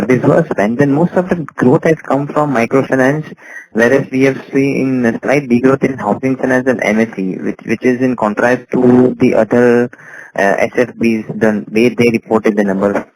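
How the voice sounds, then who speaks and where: A man's voice: mid-range voice, rough recording. A man with an Indian accent calling in as an analyst, asking questions politely and hesitantly on an earnings call.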